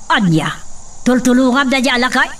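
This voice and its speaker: A woman's voice: high voice, animated, normal speed, a bit bright, recorded from a distance. A woman playing a stern, scheming wealthy mistress in a radio drama, with a commanding, scornful manner. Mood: scornful, vengeful. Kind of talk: Ilocano radio drama acting.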